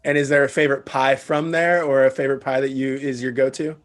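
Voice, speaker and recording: A man's voice: mid-range voice, level tone, fast talker. A man hosting an interview, asking friendly questions and giving brief acknowledgements. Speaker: podcast host. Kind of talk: interview host.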